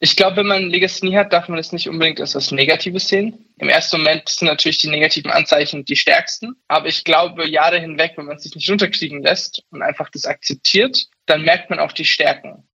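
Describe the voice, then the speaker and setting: Gravelly, husky young man. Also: on the high side, steady tone, brisk, few pauses, sharp tone, lo-fi sound. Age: 20s. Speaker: interviewee. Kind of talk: personal testimony.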